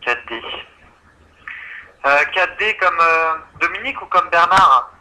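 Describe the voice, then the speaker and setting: A male customer service agent speaks in a very bright, forceful, slightly high voice. He is polite and patient as he explains, heard over a tinny phone line with faint noise. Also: expressive, slow pace. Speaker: insurance call-centre agent. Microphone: telephone.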